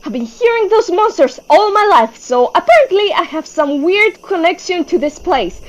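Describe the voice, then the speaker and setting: A woman's voice: very high voice, animated, normal speed. A woman playing an anxious, driven young female leader in a webtoon fan dub, speaking with earnest, emphatic intensity. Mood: desperate, upset.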